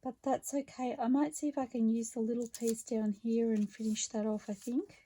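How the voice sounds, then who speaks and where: A woman with a slightly croaky voice, chatting her way through a craft project step by step in an unhurried, friendly tone. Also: fairly high pitch, little pitch movement, dark tone. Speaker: craft vlogger. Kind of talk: relaxed craft narration while working.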